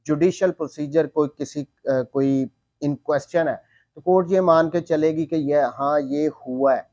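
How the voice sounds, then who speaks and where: A man's voice: on the high side, steady tone, unhurried, darkish tone, no echo, dull audio. A man with a Pakistani accent giving an explanatory law lecture, didactic and repeating key points, mixing in English legal terms.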